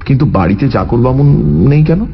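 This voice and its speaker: An actor playing a shrewd, courteous detective who questions thoughtfully in a radio drama. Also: male voice, medium pitch, expressive, moderate pace, close to an everyday voice. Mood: probing. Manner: probing, thoughtful, polite. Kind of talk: Bengali radio drama dialogue. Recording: muffled radio audio with faint music.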